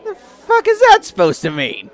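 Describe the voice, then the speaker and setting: A man's voice: very high-pitched, wildly swooping pitch, normal speed, some chatter behind, pitched above the performer's own voice, full character voice. A man playing a theatrical, indignant bar regular in an audio drama, ranting bombastically and swearing. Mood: irritated.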